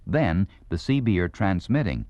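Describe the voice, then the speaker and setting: A man reading scripted instructional narration in a measured, explanatory manner. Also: deep voice, very animated, a little mellow, dry recording. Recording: dull vintage record sound.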